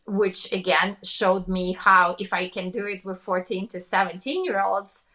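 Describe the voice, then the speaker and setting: A woman from Russia with a Russian accent, speaking fast and emphatically as a business coach in a podcast interview. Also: medium pitch, sharp tone.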